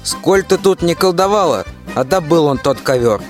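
A man's voice: on the high side, lively intonation, moderate pace, faint music behind, natural voice. A man playing a complaining, scheming general with folksy bluster in a rhymed fairy-tale radio play. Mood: complaining, urging. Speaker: student radio-play actor.

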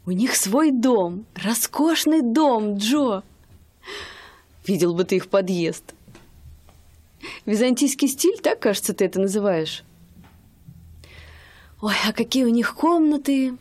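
An actress playing a gushing young wife in a radio play. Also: female voice, fairly high pitch, animated, slow pace, pauses often, darkish tone. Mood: excited. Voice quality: gushing, affectionate. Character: young wife, a music student, eager and doting.

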